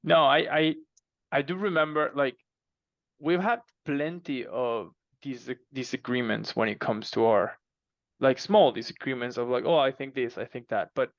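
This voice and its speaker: A man speaking as an interview guest in casual, halting conversation, repeating words as he explains. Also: medium pitch, no echo, lo-fi sound. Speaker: podcast guest, fiction co-author.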